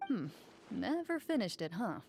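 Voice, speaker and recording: A cool, composed young duelist. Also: female voice, medium pitch, vocal fry, slightly rough, exaggerated voice. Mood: calm. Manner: measured, calm, quietly firm. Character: composed young woman duelist, calm and dry-witted. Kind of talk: video game voice acting.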